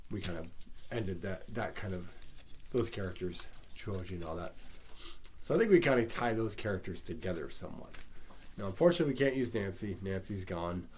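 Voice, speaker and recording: Stuffy-nosed man with a low voice. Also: darkish tone, slight background noise. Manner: rambling, self-deprecating, many ums and restarts. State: congested from a head cold. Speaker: fan pitching movie sequel ideas. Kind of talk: casual solo monologue.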